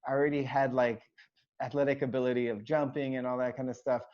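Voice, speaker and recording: A man's voice: mid-range voice, flat delivery, quick pace, a little mellow. Man, dancer being interviewed, casual rambling talk, lots of fillers, muffled lo-fi audio. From United States, raised in Hawaii. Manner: rambling, many fillers and restarts. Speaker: choreographer and dancer, guest. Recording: dull lo-fi sound.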